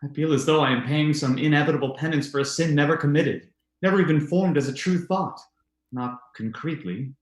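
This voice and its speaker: An actor playing an earnest, secretly lovelorn husband in a formal, theatrical old-time radio drama style. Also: male voice, fairly high pitch, brisk, natural voice. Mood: guilt-ridden. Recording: roomy, echoing sound.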